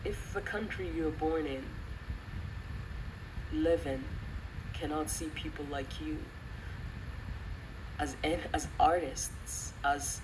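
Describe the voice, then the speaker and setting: A young woman giving personal commentary in an earnest, reflective way, halting with pauses. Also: deep voice. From Western country, of Rwandan descent. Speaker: channel host giving commentary. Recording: distant mic, clearly noisy background.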